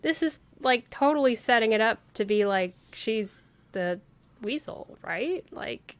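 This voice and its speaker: Slow, musing woman livestreamer. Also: fairly high pitch, expressive. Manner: musing, thinking aloud. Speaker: video game livestreamer. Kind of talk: casual live commentary. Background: game music.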